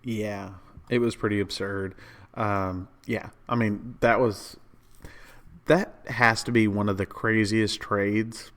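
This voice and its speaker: A man whose voice is hoarse and a little rough from being sick. He talks in a loose, easygoing way on a podcast, leaning on filler words, and his pitch sits slightly on the low side. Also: steady tone, slow pace, fairly bright tone. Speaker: podcast co-host. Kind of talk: casual chat.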